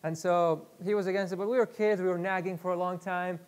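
A man from Iraq, in his thirties, talks to a room of students on a headset mic. His voice sits a little high for a man, and he speaks in a loose, chatty way, restarting phrases and filling pauses. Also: steady tone. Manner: chatty, repeats words, many fillers. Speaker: guest speaker. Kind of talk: live workshop talk. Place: lecture room with projector screen.

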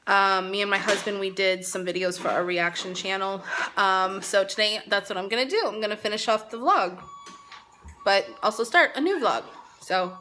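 Chatty bright-voiced mom vlogger. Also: female voice, mid-range voice, normal speed, soft background music. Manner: chatty, rambling, many "um"s and "like"s. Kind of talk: casual vlog chat to camera. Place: tiled living room at home, indoors. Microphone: phone selfie camera at arm's length.

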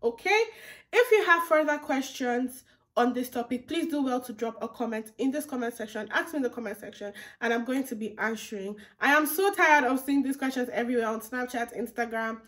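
A young woman chats to her viewers from a curtained room, her voice sitting a little high. She is friendly and informal, circling back over the same points and calling everyone "guys". She speaks to a camera set a short distance away. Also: brisk, a bit bright. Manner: chatty, repeats phrases, talks to viewers as "guys". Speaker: vlogger. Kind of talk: casual advice vlog to camera. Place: indoor room with curtains behind.

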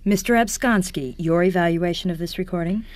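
Radio satire: actress as composed female panel moderator, measured and courteous, lowish pitch, close dry sound. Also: no room sound, lightly acted. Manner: deadpan, polite, measured. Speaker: panel host. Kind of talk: formal radio presenting, deadpan satire.

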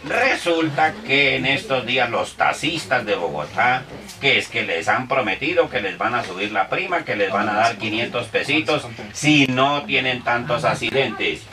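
A man's voice: medium pitch, expressive, normal speed, sharp tone. A man from Colombia hosting morning radio, teasing the guest and playing for laughs. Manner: teasing, mangles song titles for laughs. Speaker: radio host. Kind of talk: playful morning radio banter. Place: busy radio studio, indoors. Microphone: studio mic with headphones. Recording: distant mic with faint noise.

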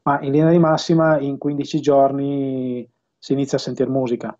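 A man in his fifties talking casually in an interview, often pausing mid-sentence as he explains things. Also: mid-range voice, little pitch movement, dark tone. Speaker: audio system installer and dealer being interviewed. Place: home room with bookshelves, indoors. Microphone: earphone headset on a video call. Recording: dull, lo-fi video call audio.

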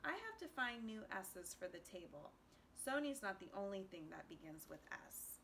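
A woman's voice: medium pitch, sing-song, moderate pace, background noise. A woman voicing an eager, inquisitive little boy in a children's storybook. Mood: curious. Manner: inquisitive, earnest.

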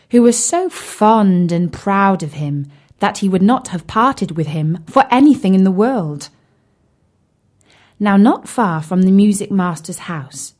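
A woman tells a children's story at a slow, careful pace. Her pitch moves in lively swoops, and she speaks expressively as if directly to young listeners. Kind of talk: scripted children's story narration.

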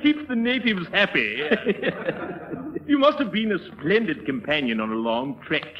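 An actor playing a pompous, hearty English lord and big game hunter in a 1930s radio comedy. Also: male voice, high voice, sing-song, moderate pace, a crowd in the background. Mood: amused. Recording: muffled 1930s radio, audience noise.

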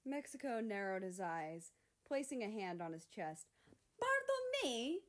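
A woman's voice: medium pitch, lively intonation, moderate pace, darkish tone. A woman reading scripted fiction aloud as a story narrator. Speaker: fan fiction reader.

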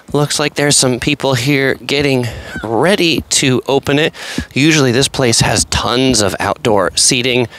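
A man's voice: mid-range voice, a bit bright, slight background noise, rough recording. A man casually narrating an outdoor walking tour on a pier, chatty and rambling, full of fillers and asides. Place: outdoors on an empty seaside pier.

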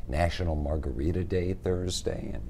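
Deep-voiced older male TV anchor. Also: moderate pace, dark tone, a little hiss or hum. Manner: folksy, jokey, Southern "y'all". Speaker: TV news co-anchor. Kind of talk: casual local TV chat. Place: TV studio kitchen set.

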